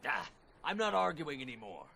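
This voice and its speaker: A man's voice: medium pitch, huge pitch swings, normal speed, creaky, sharp tone, slight background noise, full character voice. A man playing a greedy, irritable merchant in a video game, blustering and indignant as he haggles. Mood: indignant, irritated. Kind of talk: video game cutscene acting.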